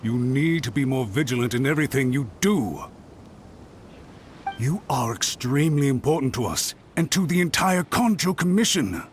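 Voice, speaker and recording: A man playing a highly strung, suspicious retainer who speaks formally and defensively. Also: lively intonation, moderate pace, slight background noise, close to an everyday voice. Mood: lecturing.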